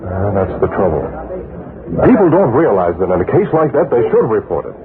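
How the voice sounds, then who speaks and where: An actor playing a calm, reasonable male newspaper publisher in 1940s radio style. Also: lowish voice, brisk, crowd noise. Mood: calm inquiry. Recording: scratchy, noisy, narrowband 1940s radio recording.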